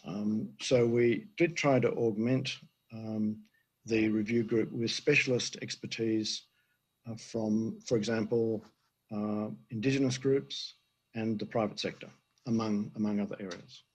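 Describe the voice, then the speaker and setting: An older man, an emeritus professor, giving a conference presentation with a hoarse voice he is losing, halting with many fillers. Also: lowish voice, steady tone, rough recording. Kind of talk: conference presentation over video.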